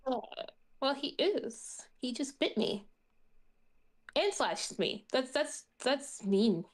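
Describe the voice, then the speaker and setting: A woman's voice: high-pitched, expressive, slow. A woman chatting casually as a player in a tabletop game, sounding unsure and questioning.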